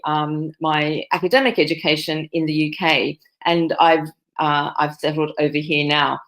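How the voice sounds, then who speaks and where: A woman's voice: fairly low pitch, fairly bright tone. A woman, a nursing professor giving a conference presentation over a video call, with many fillers and restarts. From Australia. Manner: fillers and self-corrections.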